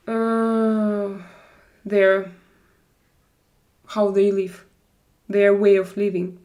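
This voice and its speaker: Woman streamer, unhurried and a bit gravelly, halting English full of fillers, chatty live stream host. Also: mid-range voice, darkish tone. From Russia. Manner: halting, searching for words with many fillers. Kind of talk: casual live stream chat, reading viewer comments.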